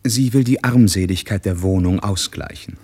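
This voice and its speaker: An actor playing a grave, knowing narrator in a literary radio drama, with a husky voice. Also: male voice, deep voice, moderate pace, darkish tone. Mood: wry, observing.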